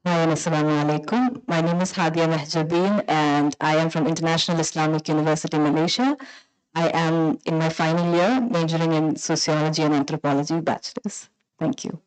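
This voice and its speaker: A woman's voice: sits low, fairly even intonation, a little fast, clean tone, low-quality recording. A woman in her twenties, a university student, introducing herself as a forum panelist. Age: 20s.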